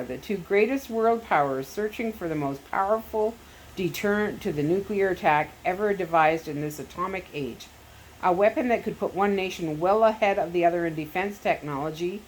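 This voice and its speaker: A woman's voice: on the low side, slight background noise, close to an everyday voice, poor audio. A woman voicing a menacing, crafty male Soviet agent who talks down in a taunting, wicked manner.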